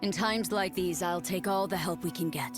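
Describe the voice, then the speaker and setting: A woman's voice: fairly low pitch, normal speed, over music, natural voice. An actress voicing a stoic, courageous young female army leader of a fantasy game, solemn and confident. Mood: resolute.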